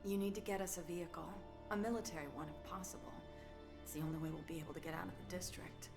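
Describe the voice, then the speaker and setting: An actress voicing a tense, practical fugitive scientist in video game dialogue. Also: female voice, on the low side, steady tone, quick pace, lightly acted. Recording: clear music over the voice.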